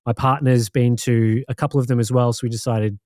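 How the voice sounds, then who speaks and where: An Australian man co-hosting a podcast, recounting things in a rambling, relaxed way with many fillers. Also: mid-range voice, fairly quick, no echo, studio quality. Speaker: podcast co-host. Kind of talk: casual chat.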